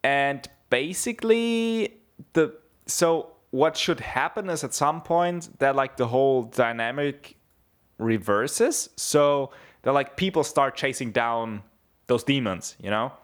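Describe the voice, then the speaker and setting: A man's voice: medium pitch, expressive, slow pace. Young man, casual rambling talker, swears freely, lots of restarts. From Germany (southeast Bavaria). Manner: rambling, swears a lot, many fillers and restarts. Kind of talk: casual chat.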